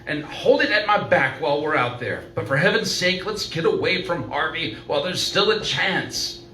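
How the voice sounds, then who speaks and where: An earnest male doctor in an old radio thriller, acted by a man, urging and insisting in a fairly high voice. The delivery is dramatic and pressing, heard from a distance in a roomy space with faint noise. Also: expressive, normal speed, sharp tone. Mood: urgent, exasperated. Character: earnest, urgent male doctor pleading with a frightened woman. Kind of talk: old-time radio play acting. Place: live performance venue, indoors.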